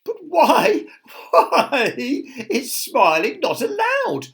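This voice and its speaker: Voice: sits high, wildly swooping pitch, normal speed, pitched above the performer's own voice, heavily acted. A storyteller voicing a bold, giggly, cheerful little lady from a children's storybook. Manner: bright, questioning, chuckling.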